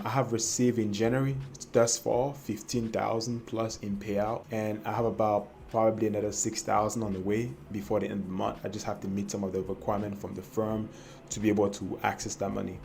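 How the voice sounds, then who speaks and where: A man's voice: lowish voice, little pitch movement, fairly quick, gravelly, a little mellow, slight background noise. A young man from Cameroon telling his personal trading story to camera, hesitant and full of fillers and restarts. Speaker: trading vlogger.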